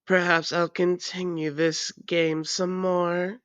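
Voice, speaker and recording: A woman voicing a menacing, taunting ancient vampire in a theatrical, deliberate audio roleplay monologue. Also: lowish voice, little pitch movement, fairly bright tone, smooth, no echo. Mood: taunting, intrigued.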